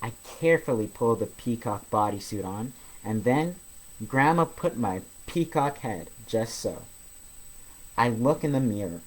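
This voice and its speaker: A man reads a children's picture book aloud as host of a story session. His delivery is expressive and geared to young listeners, at a middling pitch. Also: measured pace, poor audio.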